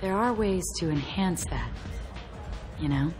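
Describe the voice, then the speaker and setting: Game villain: predatory alien femme fatale, deep female register, slow seductive phrasing, dark and intense. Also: animated, music in the background. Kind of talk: video game voice acting.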